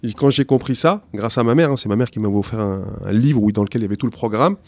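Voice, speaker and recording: A middle-aged man whose first language is not French, answering interview questions as a teacher, slowly and hesitantly with many restarts. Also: medium pitch, a little mellow, no room sound.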